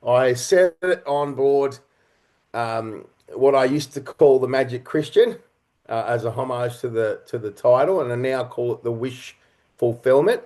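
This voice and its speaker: A middle-aged man speaking as an interview guest, telling stories in a reflective, halting and earnest way. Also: mid-range voice, a little mellow. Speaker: interview guest, writer, director and performer.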